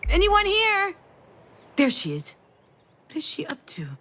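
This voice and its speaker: A woman's voice: very high-pitched, huge pitch swings, a little slow, a bit bright, full character voice. A woman playing a weary, grieving, introspective mother with a wry edge in a dramatic video game. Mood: alarmed, calling out.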